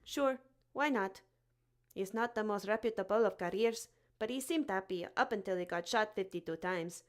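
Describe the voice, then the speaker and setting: A woman playing a cheeky, matter-of-fact schoolboy with a deadpan manner in a comic audio drama. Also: mid-range voice, normal speed, close to an everyday voice. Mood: deadpan, chatty. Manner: deadpan, nonchalant.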